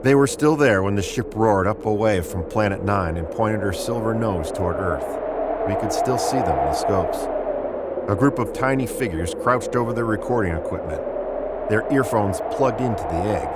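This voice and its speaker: An actor playing a commanding spaceship captain, terse and grim, in the style of old-time sci-fi radio drama. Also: male voice, lowish voice, close to an everyday voice. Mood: somber narration. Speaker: actor and story narrator.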